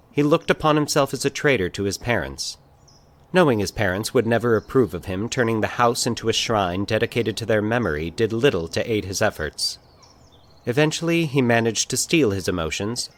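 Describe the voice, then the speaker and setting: A man from Colorado with an American accent, narrating a scripted short story in a measured, literary way. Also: on the low side, fairly bright tone. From Colorado, United States. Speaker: fiction podcast narrator.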